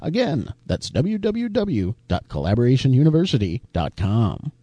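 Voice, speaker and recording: A man reading a scripted sponsor ad with energetic, punchy delivery. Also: mid-range voice, normal speed, dry recording. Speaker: sponsor ad announcer. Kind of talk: scripted promo read.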